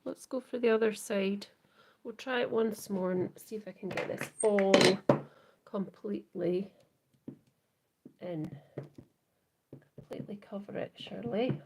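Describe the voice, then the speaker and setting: A Scottish woman hosting a live crafting demo, chatting softly to viewers and often trailing into whispers as she works. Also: mid-range voice, measured pace, gravelly, long pauses, very noisy. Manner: soft, often trailing into whispers while working. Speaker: craft livestream host.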